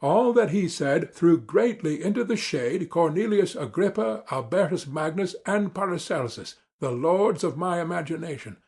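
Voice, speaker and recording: A man reading classic literature as a dramatic audiobook narrator, formal, measured and earnest. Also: on the high side, animated, a little mellow, slightly rough.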